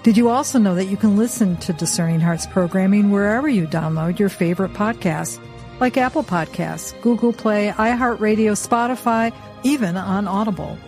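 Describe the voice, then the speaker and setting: A woman announcer reading a scripted podcast promotion in a clear, upbeat way. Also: medium pitch, moderate pace, darkish tone. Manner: clear, upbeat, listing names steadily. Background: faint music.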